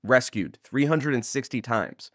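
A man's voice: mid-range voice, lively intonation, unhurried, dry recording, studio quality. A man being interviewed as an audio drama creator, chatting casually in a rambling, jokey way that often interrupts itself. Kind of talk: casual, animated interview chat.

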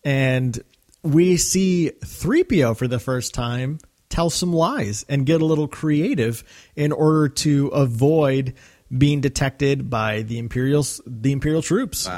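A man's voice: medium pitch, a little slow, a little mellow. A male podcast host with a mid-range voice talks casually. He recaps and discusses in an easy conversational style, as a fan would.

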